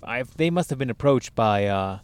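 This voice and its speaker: A man's voice: mid-range voice, animated, moderate pace, clear, resonant tone. A man with a nasal voice chatting casually and animatedly, swearing freely. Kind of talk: casual chat. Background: sitting in a parked car.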